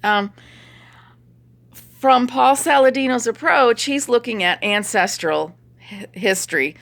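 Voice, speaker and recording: A middle-aged woman chiropractor with a hoarse voice and a stuffy nose, chatting opinionatedly to a phone camera and laughing as she talks. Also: fairly high pitch, takes it slowly, a bit bright. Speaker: chiropractor vlogger. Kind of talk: casual talk to camera.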